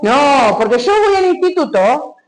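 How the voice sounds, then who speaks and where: High, lively woman preaching. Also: measured pace, low-quality recording. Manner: emphatic, questions the congregation directly. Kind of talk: sermon.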